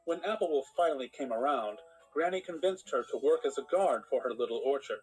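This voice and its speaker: A measured storybook narrator, played by a man, with a medium-pitched voice. He reads scripted fairytale prose steadily. Faint music accompanies him. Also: expressive, darkish tone, close to an everyday voice, low-quality recording.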